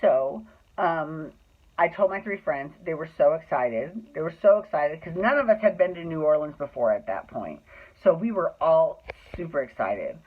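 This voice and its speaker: Sassy guy dishing a personal story, emphatic, high-ish for a man, phone-like audio. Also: lively intonation, moderate pace. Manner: sassy, emphatic, acts out dialogue. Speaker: drag performer telling stories. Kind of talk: casual confessional storytelling. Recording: thin phone-like sound.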